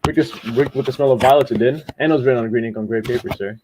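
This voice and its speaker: A man's voice: mid-range voice, rapid-fire, lightly acted. A man playing a kindly, talkative village postman in a radio drama, folksy and repeating himself. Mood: kindly, apologetic. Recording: noisy recording with clicks.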